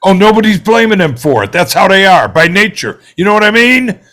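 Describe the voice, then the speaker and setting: An actor playing an angry, bigoted juror in a play read-through, ranting in a colloquial, hectoring way and growing desperate. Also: male voice, sits high, very animated, a little fast, pushed and bright, exaggerated voice. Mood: scornful. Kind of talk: dramatic table read of a stage play.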